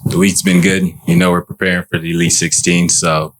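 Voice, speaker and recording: Low, even-toned man, 20s, calm interview guest. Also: brisk, slight background noise. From Minnesota, United States. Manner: calm, matter-of-fact storytelling. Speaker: guest, pro basketball player. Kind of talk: casual interview answers.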